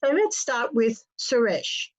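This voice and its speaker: A woman hosts a panel and courteously introduces each guest. Her voice is fairly high. The recording sounds somewhat dull and lo-fi. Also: very animated, takes it slowly. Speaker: panel host. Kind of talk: moderating a panel. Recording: dull lo-fi audio.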